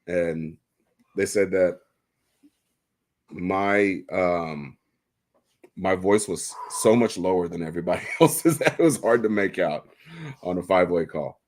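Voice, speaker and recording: Guy with a super low voice running a livestream, joking around on a desk mic. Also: unhurried, long pauses. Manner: chatty, laughs often, loose and joking. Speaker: livestream host. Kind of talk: casual livestream chat. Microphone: desk microphone.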